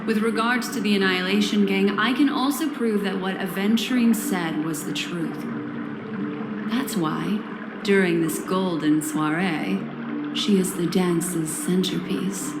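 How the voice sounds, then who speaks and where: A woman voicing a composed, enigmatic female memory-keeper in a video game, speaking in formal, deliberate, protective phrases. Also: medium pitch, very noisy, natural voice. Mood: measured, cautioning. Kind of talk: scripted video game character dialogue. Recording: roomy echo, loud background ambience.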